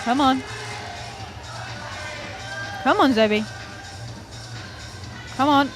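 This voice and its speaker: A woman's voice: high voice, drawn-out words, music in the background, crowd noise. A young woman from North Wales giving chatty, enthusiastic live commentary on a climbing competition, often laughing at her own slips.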